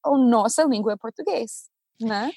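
A fairly high-pitched woman chats away in Portuguese, her being English. She talks in an animated, easygoing way and keeps checking in with little tag questions. The recording is close and dry. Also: moderate pace, husky, dry recording. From United States. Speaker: Portuguese teacher and host. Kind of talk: casual conversation.

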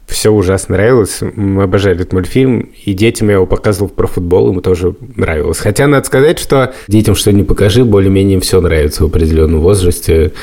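Low-voiced man in casual conversation. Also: fast talker, few pauses, mellow. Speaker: podcast co-host.

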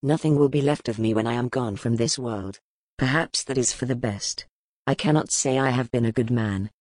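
A woman voicing a grim, powerful male duke, speaking low, measured and brooding in an audiobook. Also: darkish tone, no room sound, clean studio sound. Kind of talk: audiobook character voice.

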